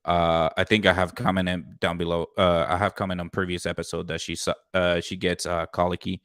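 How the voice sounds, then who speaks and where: A young man hosting a casual podcast, upbeat and loud, swearing freely. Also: deep voice, little pitch movement, fairly quick, clear, resonant tone, no echo, studio quality. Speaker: podcast host. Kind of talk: casual chat.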